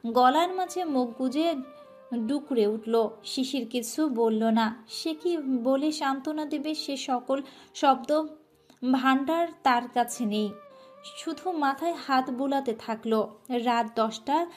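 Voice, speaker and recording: A woman tells a scripted love story in a soft, emotive manner. Her voice sits a little above the usual range. Gentle music runs faintly beneath her. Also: high-pitched, takes it slowly. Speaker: story narrator. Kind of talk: scripted romantic story narration.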